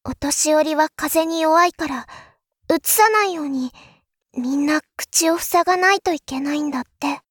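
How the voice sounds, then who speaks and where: Woman acting a very high-voiced girlish young princess. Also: moderate pace, no echo, clean studio sound, lightly acted. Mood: puzzled, concerned. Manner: girlish, expressive, confiding. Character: naive, eager young princess confiding a secret. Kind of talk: Japanese voice drama monologue.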